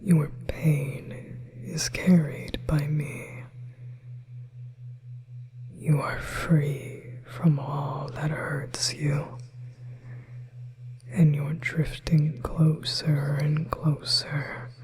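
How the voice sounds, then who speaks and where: A woman's voice: low-pitched, flat delivery, darkish tone. Whispering, hypnotic demon woman played by an actress. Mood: soothing, hypnotic. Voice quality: breathy, unvoiced whisper. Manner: slow, hypnotic, coaxing. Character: possessive, manipulative female demon, soothing and coaxing. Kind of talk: whispered ASMR hypnosis roleplay.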